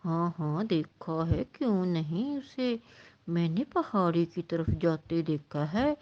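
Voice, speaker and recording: Sly cartoon predator bird, lowered voice, scheming and menacing, muffled recording, acted by a woman. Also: animated, takes it slowly. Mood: feigned helpfulness. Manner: scheming, threatening.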